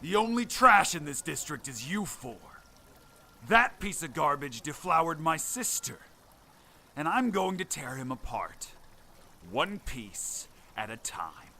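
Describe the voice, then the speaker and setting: A man's voice: a little high, very animated, measured pace, sharp tone, slight background noise, pitched above the performer's own voice, exaggerated voice. A man voicing an arrogant, menacing young crime boss in a suit, sneering and threatening.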